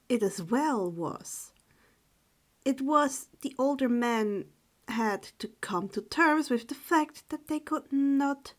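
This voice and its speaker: A woman speaking in a hushed, subdued voice, halting and correcting herself as she chats and reads stories aloud. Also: a little high. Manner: halting, stumbling over words and correcting herself. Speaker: storyteller reading fan fiction aloud for a channel. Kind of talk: informal chat, then read-aloud narration.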